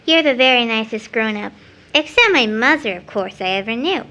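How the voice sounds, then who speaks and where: A little girl in an audio drama. She is sweet, trusting and dreamy, speaking earnestly in a childlike way with a fairly high voice. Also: expressive, normal speed, bright, forceful tone. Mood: adoring.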